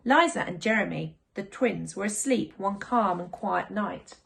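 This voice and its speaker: A woman reading a picture book aloud to children as a school storyteller, in an expressive narrating style. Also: medium pitch, a little slow, creaky, slight background noise.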